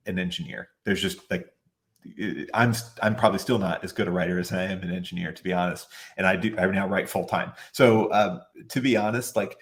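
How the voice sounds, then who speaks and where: A man speaking as a guest on an online panel, giving casual advice in a chatty way full of "like" and restarts, over a video call. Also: deep voice. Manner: chatty, many "like" fillers and restarts.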